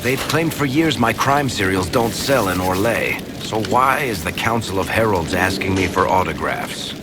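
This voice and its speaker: A gruff, wry writer of crime tales in a fantasy game, acted by a man. The voice is very deep and informal, under loud music. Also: expressive, moderate pace, lightly acted. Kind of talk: video game dialogue.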